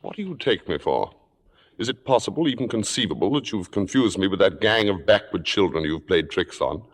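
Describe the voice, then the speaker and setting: In a reverberant old radio recording, a suave, acid-tongued critic speaks. The low voice swings widely in pitch, with precise, urbane phrasing and a sardonic, controlling edge. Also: male voice, big pitch swings, brisk, heavily acted. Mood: cold, menacing. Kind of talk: 1950s radio drama acting and narration.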